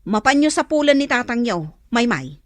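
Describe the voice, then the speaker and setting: A woman playing a scolding, exasperated wife and mother in a comedic radio drama, shrill and nagging, with an Ilocano accent. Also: very animated, moderate pace, dry recording, clean studio sound.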